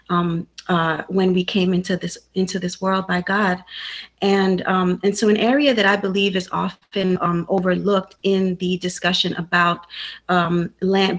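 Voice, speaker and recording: Earnest woman from Haiti speaking. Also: fairly low pitch. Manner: earnest, reflective, heartfelt. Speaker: guest speaker. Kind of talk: public talk at a community event.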